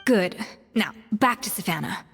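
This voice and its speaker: A woman's voice: medium pitch, lively intonation, a little slow. A woman playing a haughty, exacting young master chef in a video game, imperious and theatrical. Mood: composed, forgiving. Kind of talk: dramatic game voice acting. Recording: long reverb, faint music bed.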